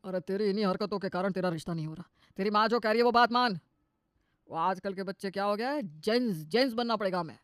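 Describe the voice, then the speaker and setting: Casting: woman playing grumbling middle-class man for a cartoon, quick delivery, scolding, dry recording. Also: mid-range voice, no room sound, close to an everyday voice. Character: fed-up men of a modest middle-class family. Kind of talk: cartoon moral story voice.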